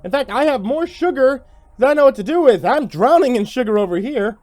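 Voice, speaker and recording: A man giving a theatrical, expressive first-person narration of a horror story, acting out the dialogue. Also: very high-pitched, a little fast, dry recording.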